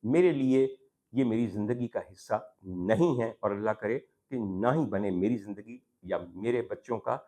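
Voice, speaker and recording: A man's voice: lowish voice, moderate pace, mellow. A man with a Pakistani accent hosting a talk programme, addressing viewers formally in an opinion monologue with rhetorical questions and emphatic repetition. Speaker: talk show host. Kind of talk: opinion monologue to camera on social issues.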